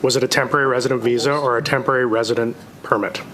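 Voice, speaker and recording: A man's voice: medium pitch, fairly even intonation, a bit bright, a little hiss or hum, rough recording. A man pressing a minister with repeated questions in parliament. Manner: pressing, rapid interrogation. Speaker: opposition politician.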